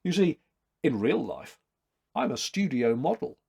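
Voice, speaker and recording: A man voicing a polite young gentleman in a storybook, wry and a little awkward. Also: fairly high pitch, big pitch swings, a little slow, vocal fry, breathy edge, heavily acted.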